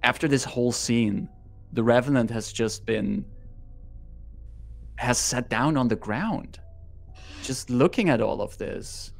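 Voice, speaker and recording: Slow, deliberate male game master. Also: mid-range voice, gravelly, faint music behind. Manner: deliberate, evocative storytelling. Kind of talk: narrating a tabletop roleplaying game.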